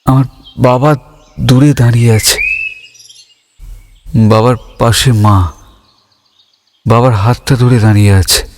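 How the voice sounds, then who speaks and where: A slow, wistful young man, emotive. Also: medium pitch, no echo. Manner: halting, stammering, emotive delivery. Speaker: narrator and lead character. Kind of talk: dramatic Bengali audio story narration. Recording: music under the voice.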